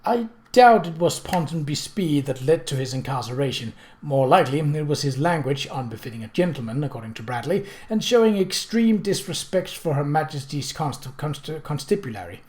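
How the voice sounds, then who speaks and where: A man voicing a genial Victorian gentleman with a wordy, ironic manner and dry humour. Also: fairly high pitch, expressive, moderate pace, few pauses, lightly acted. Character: genial, wry Victorian gentleman, drily humorous.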